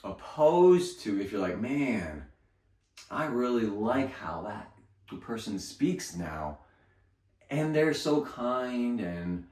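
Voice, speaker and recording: A man with a lowish voice talks slowly and earnestly, coaching his listeners and repeating key phrases to drive them home. He stands back from the mic, and the room adds a clear echo. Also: darkish tone. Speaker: speaking coach. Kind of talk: motivational talk. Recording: roomy, from a distance.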